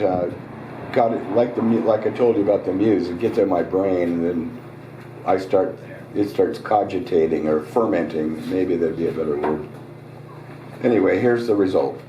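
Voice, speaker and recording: A man hosting a live reading, hoarse, telling his own short story in a relaxed, conversational way with small asides. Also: low voice, unhurried, pauses often, dull audio, background noise. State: hoarse, voice getting raspy. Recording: roomy, distant restaurant recording.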